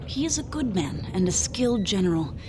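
A woman's voice: mid-range voice, sing-song, brisk, noisy background, close to an everyday voice. A woman voicing a solemn dwarf noblewoman. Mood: grave. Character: proud young female dwarf noblewoman, grave. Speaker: game character. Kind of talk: video game voice acting. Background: game music.

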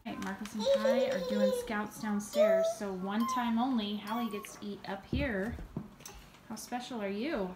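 A woman's voice: medium pitch, very animated, normal speed, very noisy. An American woman in her thirties chatting casually as a mother filming a family vlog on a handheld phone at home.

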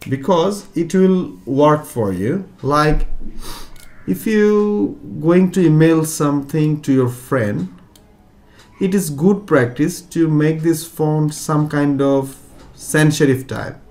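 Tutor, middle-aged man, patient and methodical, a bit high-pitched. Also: slow pace, darkish tone. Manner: patient, explaining step by step, non-native phrasing. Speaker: computer tutor. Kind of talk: one-on-one software lesson.

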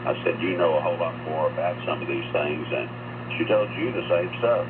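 A man's voice: low-pitched, brisk. A man chatting casually on shortwave radio in a folksy, rambling way. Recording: noisy lo-fi shortwave radio reception.

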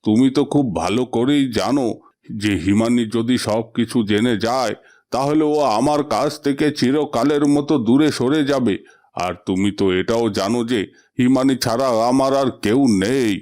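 A man's voice: medium pitch, moderate pace, darkish tone, natural voice. Man acting a dramatic, possessive fish king. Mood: accusing. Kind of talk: melodramatic cartoon fairy-tale acting.

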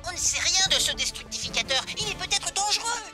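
A woman's voice: very high voice, animated, fast, music in the background. A woman voicing a level-headed, brainy child sidekick in a cartoon, cautious, precise and matter-of-fact. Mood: concerned.

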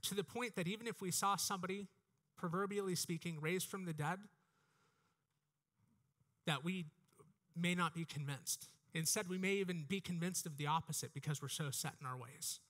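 American guy in his 30s preaching on a church stage, earnest, voice a bit on the high side. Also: moderate pace, fairly bright tone. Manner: earnest, exhorting, with repeated phrases and restarts. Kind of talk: sermon. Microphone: headset mic.

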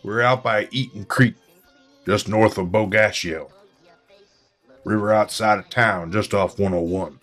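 A man acts out a genial grandfatherly traveler in his seventies for an audio drama. His voice sits fairly low as he rambles along in a folksy, chatty way, with light music behind him. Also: fairly even intonation, measured pace, long pauses, a bit bright. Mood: folksy, reminiscing. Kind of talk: audio drama dialogue as a casual interview.

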